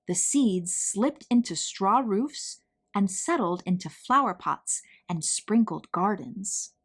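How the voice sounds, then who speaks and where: A woman reading a picture book aloud to children, telling the story in a deliberate, dramatic way with emphasized words. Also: mid-range voice, expressive, husky.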